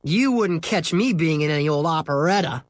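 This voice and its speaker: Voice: mid-range voice, expressive, normal speed, no room sound, clean studio sound, pitched above the performer's own voice, lightly acted. A storybook narrator voicing a lofty, teasing schoolboy with a mocking manner. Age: child. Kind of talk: children's storybook character voice.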